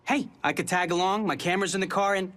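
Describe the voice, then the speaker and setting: Cheeky higher-voiced newspaper reporter. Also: male voice, fast talker, fairly bright tone. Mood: flippant. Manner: wry, flippant, chatty. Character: cheeky, fast-talking male muckraking newspaper reporter. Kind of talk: video game cutscene acting.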